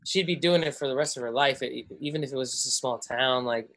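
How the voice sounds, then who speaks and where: A man's voice: medium pitch, quick pace. A young man, a native Spanish speaker, chatting casually as a podcast co-host on a headset video call from a room at home, acting out family voices. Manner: animated, acts out family voices.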